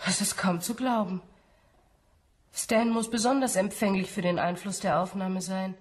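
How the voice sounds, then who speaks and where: A woman acting a cautious, evasive university researcher in a radio drama, speaking in a careful, hesitant, measured way. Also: mid-range voice, fairly even intonation, lightly acted. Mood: concerned. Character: cautious female scientist, evasive, uneasy researcher.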